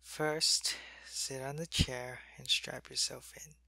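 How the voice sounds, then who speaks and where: A man playing a sick, weak male alien soldier in an ASMR roleplay. He speaks softly into a close mic and often drops to a whisper. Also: medium pitch, flat delivery, slow pace, creaky, dry recording, full character voice. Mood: weary, gentle. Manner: soft-spoken, drifting into whispers.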